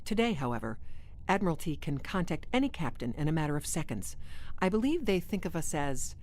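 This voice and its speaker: A composed female alien officer aboard a starship, played by a woman in a sci-fi audio drama. Her voice sits slightly low, and she speaks formally, with dry, deadpan logic. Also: fairly quick. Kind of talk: science fiction audio drama acting.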